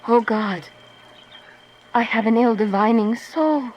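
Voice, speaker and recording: Woman playing high-voiced lovesick young noblewoman. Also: slow, muffled recording, a little hiss or hum. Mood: foreboding. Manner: fervent, dramatic delivery of archaic verse. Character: Juliet, lovesick young noblewoman, passionate and anguished. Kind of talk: Shakespearean radio drama acting.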